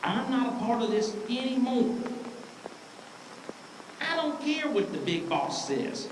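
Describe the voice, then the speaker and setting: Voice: medium pitch, very animated, slow pace. An actor playing a panicked, guilty ghost in an amateur audio drama, speaking in an agitated, desperate way. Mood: defiant, fed up. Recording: echoey, distant, faintly noisy.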